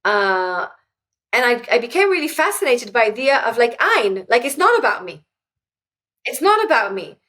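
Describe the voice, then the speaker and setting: A woman's voice: on the high side, very animated, moderate pace, a bit bright. A middle-aged woman whose first language is Hebrew, talking earnestly as an interview guest and often restarting her sentences. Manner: earnest, many restarts and fillers. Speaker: guest, author. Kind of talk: reflective interview talk.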